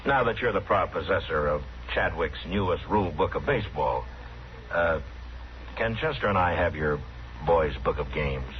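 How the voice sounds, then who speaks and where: Deep-voiced stoic frontier marshal. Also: male voice, animated, moderate pace. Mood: dry, gentle. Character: stoic, weary, commanding US Marshal of the Old West. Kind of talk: 1950s radio western acting. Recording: dull, noisy old radio recording.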